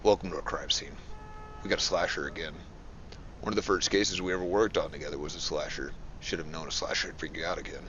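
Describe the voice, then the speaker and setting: An actor playing a gruff, sardonic veteran police detective. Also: male voice, extremely low pitch, fairly even intonation, fast talker, bright, forceful tone, highs cut off, a little hiss or hum. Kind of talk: amateur motion comic voice acting.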